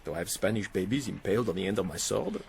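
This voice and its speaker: An actor playing a genial, teasing French army captain in a radio drama, with a French accent. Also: male voice, fairly low pitch, animated, quick pace, gravelly, breathy edge, full character voice. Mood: amused exasperation.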